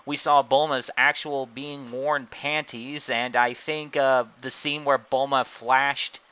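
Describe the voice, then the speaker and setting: A man with a bright, clear voice of medium pitch hosts a casual group discussion. He explains his opinions at length in a rambling, conversational way. The sound is slightly dull, like an online voice call. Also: flat delivery, takes it slowly. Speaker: podcast host. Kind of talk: casual group chat about anime and card games. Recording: dull, lo-fi voice chat.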